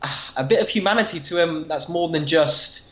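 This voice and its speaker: A man's voice: a little high, fairly quick, a bit bright. A man co-hosting a podcast, giving opinions conversationally in a casual review discussion. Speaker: podcast co-host. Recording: muffled, echoey room sound.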